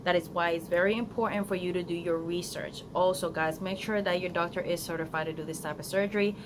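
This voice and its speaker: A woman's voice: lowish voice, steady tone, fast. A young woman with a Spanish accent, Spanish her first language, chatting earnestly in an unedited phone vlog outdoors, with many ums and restarts. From Florida, United States. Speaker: vlogger. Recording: phone recording outdoors with clear background noise.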